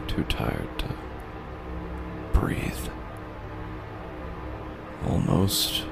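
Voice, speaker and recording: An actor playing a weary, haunted walking-dead man in a horror audio drama, brooding and numb, with halting, deliberate phrasing. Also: rumbling low, creaky, exaggerated voice. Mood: numb, exhausted.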